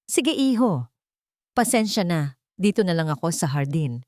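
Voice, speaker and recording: A woman's voice: fairly low pitch, sing-song, measured pace, a little mellow, dry recording, clean studio sound. Woman acting an anxious, devoted older housemaid. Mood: meek. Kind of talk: dramatic audio story dialogue.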